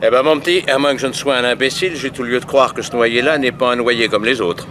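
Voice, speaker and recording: A man playing a gruff, colloquial police inspector in a 1960s French radio crime drama. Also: mid-range voice, fast, background noise, lightly acted. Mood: earnest. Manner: colloquial, interjecting, deferential to the detective.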